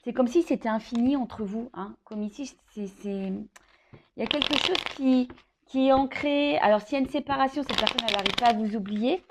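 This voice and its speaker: A woman with a slightly high voice hosts an informal card reading, speaking directly to the viewer. She improvises as she goes, pausing to think, repeating phrases and adding small conversational tags. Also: slight background noise. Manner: hesitant, thinking aloud, with frequent "hein" tags and repeated phrases. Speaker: tarot card reader hosting a love horoscope reading. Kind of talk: informal improvised tarot guidance addressed to the viewer.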